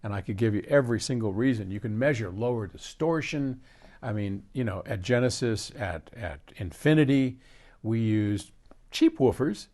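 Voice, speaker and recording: An older man with an American accent talks casually, as if answering a question from his audience. His pitch swings widely as he explains things in an animated, digressive storytelling way. The delivery is informal and rambling. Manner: animated, digressive storyteller who quotes conversations.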